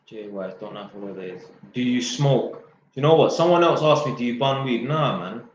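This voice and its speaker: A man's voice: medium pitch, lively intonation, moderate pace, a little hiss or hum. Blunt man in a very echoey room. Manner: blunt, swears freely, slangy and joking. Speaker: YouTuber answering viewer questions. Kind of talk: casual Q&A, reading out questions.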